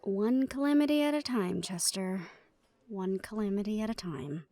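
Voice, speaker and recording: A woman's voice: medium pitch, moderate pace, mellow, slight background noise, close to an everyday voice. A woman playing a supportive, protective big-sisterly character, firm and dryly sardonic, in an audio roleplay drama. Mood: worried.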